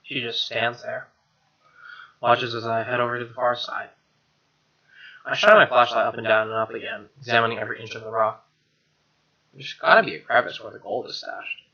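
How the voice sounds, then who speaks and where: A man reading a visual novel's narration aloud as a let's play host, wry and expressive, over faint music. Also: mid-range voice, moderate pace, pauses often, lo-fi sound. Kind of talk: reading game narration with asides. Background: game music.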